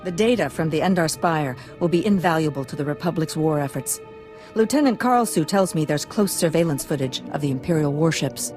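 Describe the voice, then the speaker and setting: Low-voiced female officer. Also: expressive, a little fast, darkish tone, faint music behind. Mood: formal, grateful. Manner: formal, courteous. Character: formal, dutiful female military officer. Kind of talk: video game dialogue acting.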